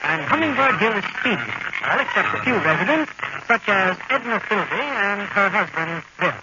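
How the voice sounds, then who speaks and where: An actor playing a genial, wry storyteller, narrating a scripted old radio comedy. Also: male voice, sits high, sing-song, moderate pace. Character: genial, wry male storyteller setting the scene. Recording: noisy, muffled old radio broadcast.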